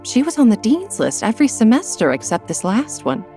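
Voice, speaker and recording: A woman's voice: a little high, sing-song, a little fast, warm tone. A woman playing a weary, troubled middle-aged mother of a runaway in an audio drama. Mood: weary distress. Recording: music under the dialogue.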